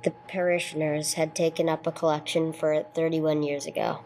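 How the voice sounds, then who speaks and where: A woman's voice: sits low, little pitch movement, fairly quick, a little mellow, rough recording. A woman playing a no-nonsense police sergeant who narrates a case in a radio play, clipped and matter-of-fact. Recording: clear background noise, room ambience.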